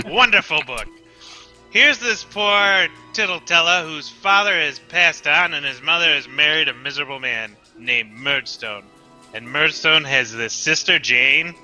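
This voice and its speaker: A man's voice: a little high, a little slow, bright, forceful tone, faint music behind, rough recording. A man reading the part of a timid, bookish bank teller in a live radio-play reading, hesitant and apologetic. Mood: wistful. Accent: American. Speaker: live script reader.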